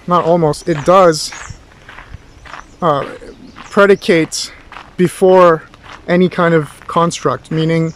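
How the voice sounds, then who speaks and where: Male tutor, fairly high voice, informal lecturing, rambling and reflective, some background noise. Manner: rambling, thoughtful, with rhetorical questions. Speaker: philosophy tutor.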